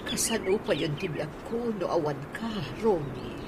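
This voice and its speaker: A woman's voice: fairly low pitch, animated, moderate pace, very noisy, natural voice. A woman playing an older auntie devoted to her much younger boyfriend in a radio drama. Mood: fond.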